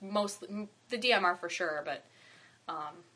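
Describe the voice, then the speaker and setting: A woman's voice: lowish voice, moderate pace, sharp tone, slightly rough. Hesitant woman in casual gaming chat. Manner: hesitant, many ums and trailing thoughts. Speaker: podcast co-host.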